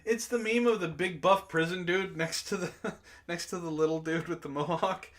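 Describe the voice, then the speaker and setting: A man's voice: sits high, steady tone, normal speed, a bit bright. A man hosting a casual online chat, reading messages and memes aloud and reacting in a giggly, profane way.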